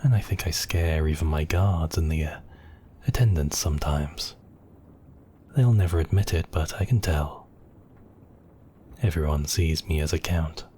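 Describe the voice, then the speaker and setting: Man playing a deep, slow, brooding vampire count. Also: a little hiss or hum. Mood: wistful, lonely. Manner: slow, hushed, intimate murmur with whispery moments. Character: brooding, possessive, tender male vampire count. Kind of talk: romantic ASMR roleplay.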